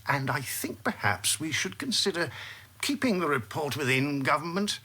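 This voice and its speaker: A man acting a shrewd, patronising senior British minister in a radio drama, with a husky voice and a measured, avuncular manner. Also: on the high side, animated, pushed and bright. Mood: measured, wary.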